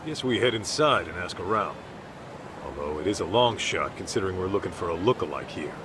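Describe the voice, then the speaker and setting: Deep gruff middle-aged detective. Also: male voice, expressive, fairly quick, background noise. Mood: reluctant. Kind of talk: video game cutscene dubbing. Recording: studio voice with music behind.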